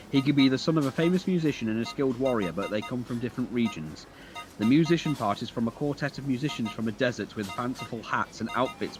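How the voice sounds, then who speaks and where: Man, medium pitch, casual gaming chat, let's-play commentary, clear music behind. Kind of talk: casual chat over gameplay. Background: game music.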